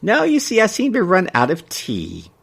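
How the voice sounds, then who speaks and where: A man's voice: sits high, wildly swooping pitch, moderate pace, vocal fry, fairly bright tone, husky, voice pushed up, heavily acted. Man putting on a fussy elderly small-town woman. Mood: explaining.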